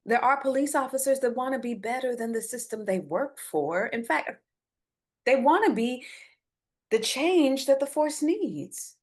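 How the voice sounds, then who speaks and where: A woman's voice: sits high, expressive, unhurried. A woman playing an earnest, hopeful bereaved mother in a dramatic script reading, pleading and placating yet firm as she argues for forgiveness.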